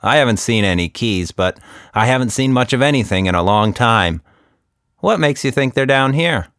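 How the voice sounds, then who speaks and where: A man's voice: mid-range voice, animated, normal speed, fairly bright tone, clean tone, no room sound, studio quality. A man voicing a talkative, short-sighted female monkey who rambles and interrupts in a children's story. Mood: questioning.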